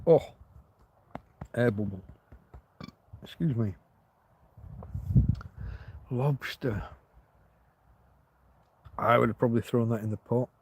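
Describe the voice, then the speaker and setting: A man's voice: medium pitch, slow pace, pauses often, a little hiss or hum. Man rambling on a live stream. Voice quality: slight cough, throat tickle. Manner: meandering, restarts often, chats to viewers. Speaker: livestream host.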